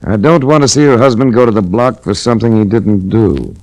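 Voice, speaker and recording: Hard-boiled sleuth: low-ish, sardonic, laconic; vintage radio drama. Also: male voice, animated, brisk, a little mellow, dry recording, rough recording. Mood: wry. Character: wry hard-boiled male insurance investigator. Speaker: detective narrator. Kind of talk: 1940s radio drama acting. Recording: restored old radio broadcast.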